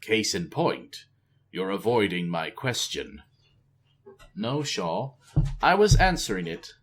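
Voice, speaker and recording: A man's voice: on the low side, very animated, slow pace, breathy edge, exaggerated voice. A man voicing an earnest young male king who searches for his words. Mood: searching.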